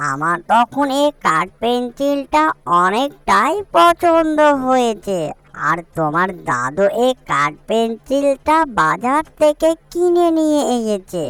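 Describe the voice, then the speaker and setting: A woman's voice: a little high, very animated, slow pace, smooth, no echo. A woman voicing a mischievous, teasing little ghost in a cartoon, speaking emphatically. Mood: gleeful, teasing. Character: mischievous little ghost, greedy but friendly. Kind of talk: cartoon character acting.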